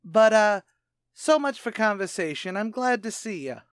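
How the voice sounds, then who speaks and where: Man as brash tavern manager, wisecracking, mock-genteel, lively swooping delivery, 1940s radio comedy, close dry sound. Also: sits high, normal speed, smooth, no room sound. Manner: streetwise slang mixed with pompous affected words.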